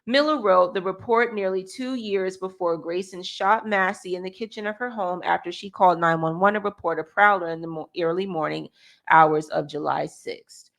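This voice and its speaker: Female American co-host, lower register, reads articles aloud informally with small self-corrections. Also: creaky, a bit bright. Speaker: co-host reading a news article. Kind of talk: reading aloud with casual asides.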